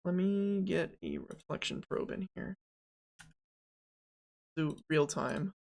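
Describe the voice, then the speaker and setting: Gravelly-voiced twenty-something game dev streamer. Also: mid-range voice, wildly swooping pitch, slow pace, darkish tone. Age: 20s. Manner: joking, rambling, self-talk with fillers. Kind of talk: casual live dev stream, thinking aloud.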